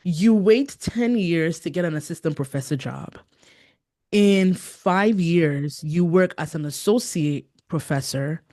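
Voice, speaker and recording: A woman hosting an interview, encouraging and quick to affirm her guest. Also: lowish voice, warm tone. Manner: encouraging, frequent affirming murmurs. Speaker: podcast host. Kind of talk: interview conversation.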